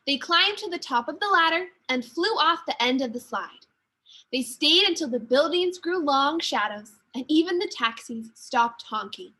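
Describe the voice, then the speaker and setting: A woman teacher reading a children's story aloud with expressive, deliberate narration. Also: high-pitched.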